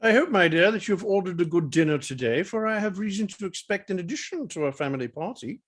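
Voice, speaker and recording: A man playing a dry, teasing older father and husband in a period drama reading, deliberate and ironic, with a husky voice. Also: high-pitched, animated.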